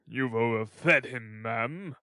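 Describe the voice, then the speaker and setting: A stern, self-important beadle from an old storybook, performed by a man. He is loud and declamatory, leaning heavily on his words with pompous emphasis. The recording is dry and close. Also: medium pitch, slow, dry recording. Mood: stern, indignant.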